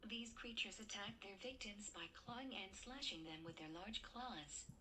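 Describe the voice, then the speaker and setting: A woman playing a news anchor who reads breaking disaster news in a formal, measured way. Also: mid-range voice, pushed and bright, a little hiss or hum, natural voice. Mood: urgent, formal. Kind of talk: scripted breaking-news narration.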